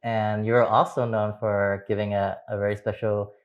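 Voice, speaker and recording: Very deep-voiced woman interviewer. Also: flat delivery, normal speed, muffled recording. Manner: asks short questions, many brief acknowledgments. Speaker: interviewer, host. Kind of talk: casual interview chat.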